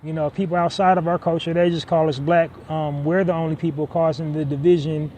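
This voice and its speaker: A man's voice: a little high, little pitch movement, brisk, a little mellow, a little hiss or hum, low-quality recording. Rambling man podcasting outdoors. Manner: rambling, many fillers and restarts. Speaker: podcast host. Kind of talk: casual solo podcast monologue.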